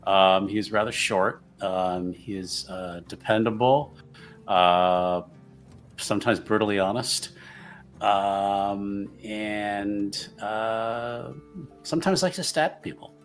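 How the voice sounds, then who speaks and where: A man introducing himself casually as a tabletop game player, halting, with understated dry humor. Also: lowish voice, faint music behind. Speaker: player on a tabletop roleplaying stream.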